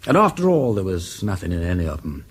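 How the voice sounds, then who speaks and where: An actor playing a flippant, lovestruck aristocratic English gentleman detective in a British radio drama, chattering whimsically in old-fashioned slang. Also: low voice, huge pitch swings, quick pace, slightly rough, exaggerated voice. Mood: whimsical, chatty. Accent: upper-class English.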